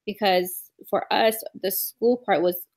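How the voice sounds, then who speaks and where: A woman's voice: medium pitch, fairly even intonation, slow, darkish tone. A young adult woman speaking on a panel in a conversational way, building on what others said. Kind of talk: casual panel discussion.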